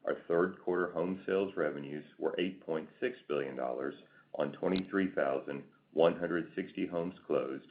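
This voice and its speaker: A man reading a scripted financial report as a company executive on a conference call. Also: rumbling low. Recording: tinny phone-like conference line.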